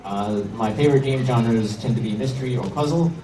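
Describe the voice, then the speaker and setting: A man's voice: medium pitch, brisk. A man giving an informal, slightly hesitant slide presentation to an audience as a panel speaker. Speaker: convention panel presenter, indie game developer. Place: indoor convention panel room. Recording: echoey room, distant mic, heavy noise.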